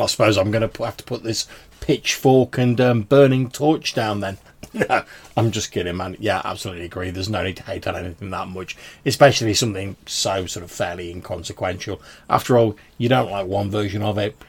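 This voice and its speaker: A man's voice: fairly low pitch, fairly even intonation, brisk, husky. A man hosting a podcast, chatting informally and casually as he replies to listener messages. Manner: informal, chatty, often says "dude". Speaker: podcast host. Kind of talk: casual podcast talk responding to listener voicemails.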